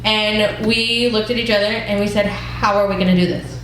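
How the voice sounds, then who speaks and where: Woman in her thirties, church group leader giving a candid testimony, mid-pitched voice, big reverberant hall, faint hiss. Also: steady tone, normal speed, fairly bright tone. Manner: earnest, conversational, frequent ums. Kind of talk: personal testimony talk. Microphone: wireless mic that cuts out.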